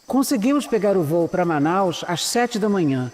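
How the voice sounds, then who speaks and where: A woman playing a shrewd, seasoned Brazilian investigator in an audio drama, serious and measured. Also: fairly low pitch, sing-song, a little mellow, long reverb tail. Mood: grave, measured. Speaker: lead actor and first-person narrator. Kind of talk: audio drama acting and scripted narration.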